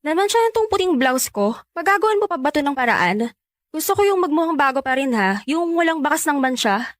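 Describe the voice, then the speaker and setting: A woman's voice: high voice, very animated, a little fast, no echo, studio quality. A woman playing a bossy, belittling older sister in a radio drama, with a condescending, scornful manner.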